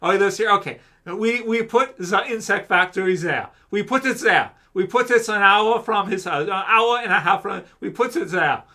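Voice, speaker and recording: A man putting on a smug male official with a bungled mock German accent, gloating in halting broken English. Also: sits high, pushed and bright, pitched above the performer's own voice.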